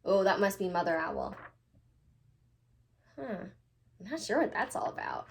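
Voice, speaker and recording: A woman teacher talking to young children and reading a storybook aloud in an expressive, emphatic way. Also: mid-range voice, unhurried, creaky, slight background noise.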